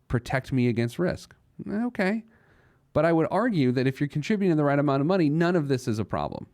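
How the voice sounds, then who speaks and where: A man's voice: mid-range voice, darkish tone, no room sound, studio quality. A man hosting a personal finance radio show off the cuff, emphatic and often answering his own rhetorical questions. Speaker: radio host, financial planner.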